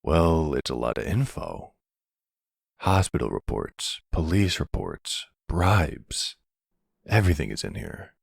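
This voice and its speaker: A man playing a middle-aged silver fox mafia boss, smitten and commanding, speaking tenderly and gently in an intimate ASMR roleplay. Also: big pitch swings, no echo, studio quality, heavily acted. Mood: grave, reassuring. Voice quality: low, gravelly. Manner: slow, tender, measured.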